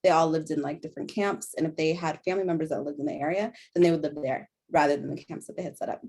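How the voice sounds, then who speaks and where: A woman's voice: low-pitched, steady tone, fast, darkish tone. A young woman with an American accent, reading a prepared panel talk in an earnest way and now and then correcting herself. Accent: General American. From born in a refugee camp in Sudan, raised in Denver. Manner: earnest, self-correcting, occasional laughs.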